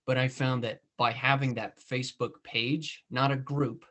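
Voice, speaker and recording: A man's voice: mid-range voice, level tone, a little slow, a bit bright. Chatty male podcast host. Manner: conversational, frequent "you know" and "I mean". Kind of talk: casual interview chat.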